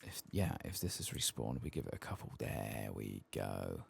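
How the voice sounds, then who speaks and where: Male ASMR game streamer, extremely low gravelly register, husky, murmured play-by-play to himself. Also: big pitch swings, normal speed. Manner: low-key, murmuring to himself while playing. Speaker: gaming streamer. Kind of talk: soft-spoken ASMR game playthrough commentary. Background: keyboard typing and mouse clicks.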